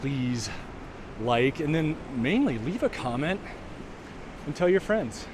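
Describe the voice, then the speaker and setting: A man's voice: on the high side, sing-song, takes it slowly. A man guiding viewers on a walk up a snowy trail, chatting casually between breaths as he climbs. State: winded from walking uphill. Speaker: vlogger and virtual walk guide. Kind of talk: casual narration while hiking. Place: outdoors on a snowy trail by a waterfall. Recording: outdoor recording with loud wind and water noise.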